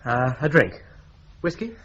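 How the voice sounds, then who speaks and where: An actor playing a friendly, easygoing solicitor in a radio drama. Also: male voice, medium pitch, sing-song, measured pace. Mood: affable. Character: affable new solicitor, man. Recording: lo-fi recording with loud noise.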